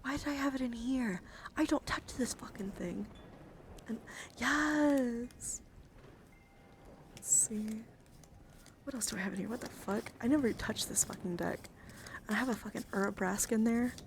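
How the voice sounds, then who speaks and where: A woman livestreamer chatting casually and informally, excitable and prone to swearing, as she talks viewers through her hobby. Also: fairly high pitch, measured pace, long pauses, slight background noise. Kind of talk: casual livestream chat while sorting trading cards.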